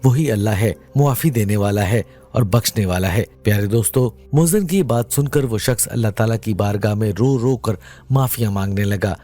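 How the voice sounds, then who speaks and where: A man's voice: lowish voice, dark tone. A man reading a scripted religious moral story in an earnest, dramatic way, addressing his listeners directly. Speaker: narrator of religious moral stories. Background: background music.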